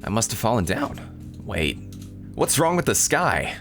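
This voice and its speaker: An angsty teenage schoolboy hero, played by a man, narrates a visual novel in overwrought, self-pitying style. The delivery swings widely in pitch, with light music underneath. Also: very animated, measured pace, a bit bright. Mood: bewildered, alarmed. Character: angsty, melodramatic teenage anime schoolboy protagonist. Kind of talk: visual novel read-aloud.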